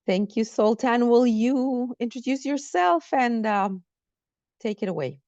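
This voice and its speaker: Gravelly-voiced woman moderating a call. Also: a little high, expressive, a little slow, a little mellow, no echo. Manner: friendly, with fillers. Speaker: seminar moderator. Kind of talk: moderating an online seminar. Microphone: video call. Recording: dull lo-fi call audio.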